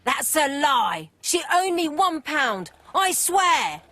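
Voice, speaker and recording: Brash high-voiced fruit seller. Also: female voice, sing-song, takes it slowly, sharp tone, slight background noise, exaggerated voice. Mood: indignant. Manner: blunt, colloquial. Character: brash, defensive working-class woman fruit seller. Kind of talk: video game voice acting.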